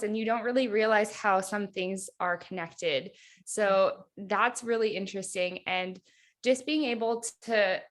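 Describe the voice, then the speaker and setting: A woman's voice: mid-range voice, fairly bright tone. Thirties female guest, casual and reflective, leans on "like" a lot. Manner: chatty, reflective, heavy use of "like". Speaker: hypnotherapist guest. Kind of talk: casual podcast interview.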